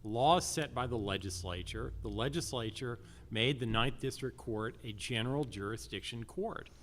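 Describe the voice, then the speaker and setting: An American man speaking as a judicial candidate at a public forum, deliberate and using emphatic repetition. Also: mid-range voice, fairly bright tone.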